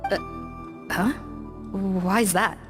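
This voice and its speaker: A firm, dutiful officer. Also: female voice, mid-range voice, steady tone, drawn-out words. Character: dutiful female law officer, by-the-book. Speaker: officer leading a team. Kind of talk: game cutscene voice acting. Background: game music.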